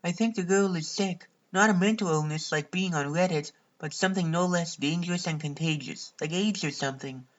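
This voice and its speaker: A man's voice: a little high, brisk, hardly any pauses, lo-fi sound, lightly acted. A man voicing an earnest, preachy young female fighter in an anime parody dub. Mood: solemn, concerned.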